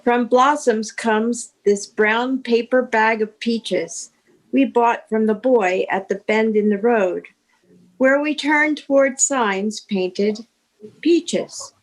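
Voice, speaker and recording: A woman reading a poem aloud in a measured, phrase-by-phrase way. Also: a little high, poor audio. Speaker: participant reading poetry aloud.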